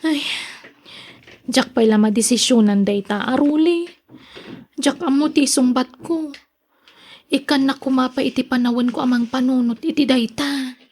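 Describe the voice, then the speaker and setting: A woman's voice: sits high, normal speed, long pauses, darkish tone. A woman with a Filipino accent playing a regretful young single mother in a radio drama, plaintive and hesitant. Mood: torn, plaintive. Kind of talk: Ilocano radio drama acting.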